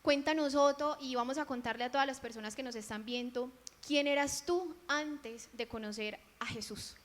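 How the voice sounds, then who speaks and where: A woman's voice: sits high, normal speed. A woman hosting a faith interview, asking her guest questions in a friendly, inviting way. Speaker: interview host.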